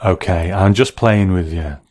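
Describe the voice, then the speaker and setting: Low-voiced man narrating over music. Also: a little slow. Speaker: show narrator. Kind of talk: scripted narration.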